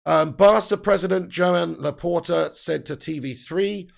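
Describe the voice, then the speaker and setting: A young man hosting a football fan live stream, reading out viewer comments in a heated, sweary rant, his voice croaky and tired. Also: on the high side, unhurried. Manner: heated, sweary ranting with laughs. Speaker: football fan channel host.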